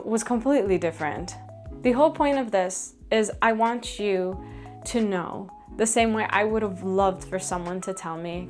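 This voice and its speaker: A young woman from Canada talking earnestly to her viewers in a reflective personal vlog, encouraging and direct. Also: medium pitch. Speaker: vlogger.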